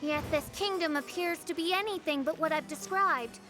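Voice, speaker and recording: A woman's voice: very high voice, normal speed, music in the background. A woman voicing a haughty, theatrical teenage girl who speaks as a pompous gothic princess in a video game. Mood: haughty, grandiose. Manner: pompous, grandiose archaic speech.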